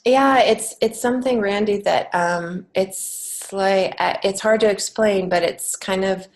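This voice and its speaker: An American woman talking casually as an interview guest, earnest and reflective, often halting and restarting her thoughts. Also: mid-range voice. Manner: earnest, reflective, halting with many false starts. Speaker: podcast guest, children's author and creative writing teacher.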